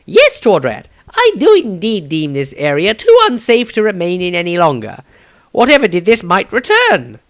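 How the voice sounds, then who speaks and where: A man's voice: high voice, wildly swooping pitch, moderate pace, fairly bright tone, pitched above the performer's own voice, exaggerated voice. A man voicing a wry, easily panicked and indignant wizard in a fantasy storybook, with prickly, formal wording. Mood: politely alarmed.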